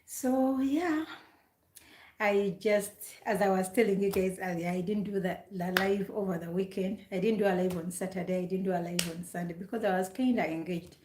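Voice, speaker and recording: A woman's voice: mid-range voice, moderate pace, noisy background. Chatty woman streamer from Uganda, roomy echo. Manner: chatty, repeats herself, greets viewers by name. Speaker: live stream host. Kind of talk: casual live stream chat.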